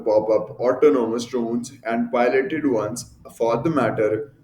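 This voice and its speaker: A man hosting a video channel, reading an article aloud in a halting way, stumbling over words and correcting himself. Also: mid-range voice, darkish tone. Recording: echoey room.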